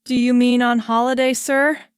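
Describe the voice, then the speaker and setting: A woman voicing a loyal older statesman who urges his friend the king to stay and fight, in a clear, smooth voice. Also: fairly high pitch, flat delivery, moderate pace, dry recording, studio quality, natural voice. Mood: urgent. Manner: urgent, pleading.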